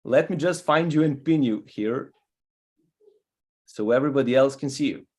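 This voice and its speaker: Brief: young Serbian-accented man, guest host on a video call, friendly and easygoing, mid-pitched voice. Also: moderate pace, darkish tone. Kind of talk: live online show hosting.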